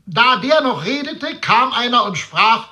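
A fervent preacher declaims scripture in a solemn, emphatic tone. The voice is high and extremely sharp and forceful, built for announcing doom in a radio play. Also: male voice, big pitch swings, unhurried, exaggerated voice. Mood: fervent, dire. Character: fervent male preacher reciting calamities from scripture. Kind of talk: declaimed Bible reading.

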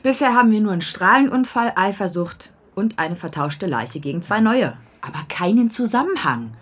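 A woman's voice: medium pitch, lively intonation, moderate pace, reverberant, close to an everyday voice. Woman playing a pert, sardonic police assistant. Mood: sardonic, probing. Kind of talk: radio play acting.

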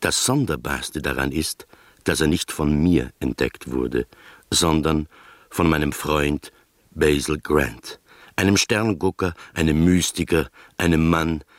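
A man playing the reflective narrator of an eccentric mystery tale in a radio drama, measured and deliberate. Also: rumbling low, dry recording, studio quality.

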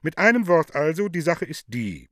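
A man's voice: on the high side, big pitch swings, normal speed, dry recording, clean studio sound. A man playing an affected, haughty, coaxing woman in a theatrical radio play, mannered and gushing. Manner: mannered and gushing, with French interjections.

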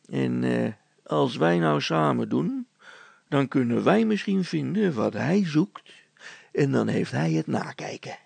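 A storybook village constable, acted by a man, blustering and scheming. The voice is heavily creaky and rough, recorded very dry, with hesitant, conspiratorial phrasing. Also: mid-range voice, lively intonation, slow, darkish tone, dry recording, heavily acted. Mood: conspiratorial, urging. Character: scheming village constable, blustering, flustered before his superior.

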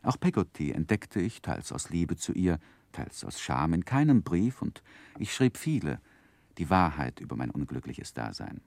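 A man's voice: deep voice, very animated, moderate pace, vocal fry, a little mellow, no room sound, exaggerated voice. An actor playing a grown man who earnestly recalls his boyhood, narrating in a literary 1960s German radio play style. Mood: earnest, sorrowful.

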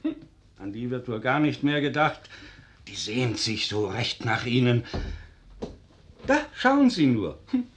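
A man's voice: mid-range voice, big pitch swings, measured pace, pauses often, a little hiss or hum. An actor in a radio play voicing a hearty, fussy middle-aged schoolmaster father with a pompous, avuncular manner. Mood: jovial. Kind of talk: German radio play acting.

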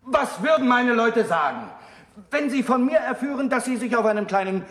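An actor playing an earnest, troubled young monk in a German radio play, speaking formally and deliberately. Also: male voice, very high-pitched, animated, a bit bright. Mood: impassioned. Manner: formal, deliberate, rhetorical delivery. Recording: echoey room, old radio play.

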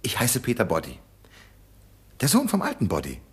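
A man's voice: medium pitch, wildly swooping pitch, normal speed, a bit bright, breathy edge, full character voice. A young actor playing a cheeky, scheming young man with mock-polite manners in a radio drama. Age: young adult.

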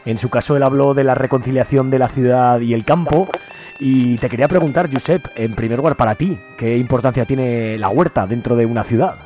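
A man's voice: mid-range voice, fairly quick, warm tone, faint music behind. A man with a Spanish accent from Spain hosting a conversational radio interview, informal, often tagging his phrases with little questions. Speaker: radio host interviewing guests.

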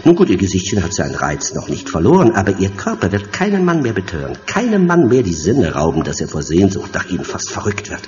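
An actor playing a hunchbacked, vengeful mad scientist in a horror audio drama, calm and deep-voiced, taunting with mock courtesy. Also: male voice, fast talker, hardly any pauses. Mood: gloating, taunting. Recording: dull sound in a long echoing hall.

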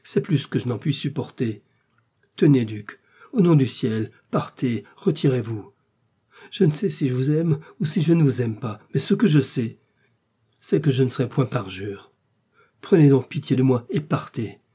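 A man putting on an anguished queen from a period romance. The voice is soft-edged, dark and husky, with a formal, agitated, imploring delivery that keeps its royal dignity. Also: mid-range voice, lively intonation, normal speed. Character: anguished, dignified queen, torn between duty and love.

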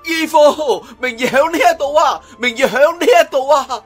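A man's voice: normal speed, faint music behind. A man voicing an eager, devoted young martial arts hero, calling out emotionally with a Cantonese accent. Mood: overjoyed. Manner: high, shouted, emotional calling out.

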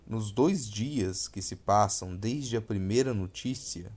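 Brazilian guy reading an essay real slow, lowish voice, lots of pauses. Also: darkish tone. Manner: deliberate, pausing between short phrases. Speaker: podcast narrator reading an essay. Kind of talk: scripted literary essay reading.

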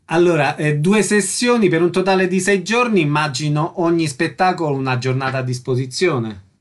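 A man with a slightly high-pitched voice conducts an interview. He puts his questions in a conversational way. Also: moderate pace.

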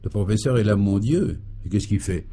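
A man's voice: lowish voice, a little fast, mellow, lightly acted. A man voicing a polite, hesitant young male adventurer in a comic radio serial, muted, tentative and formal. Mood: curious.

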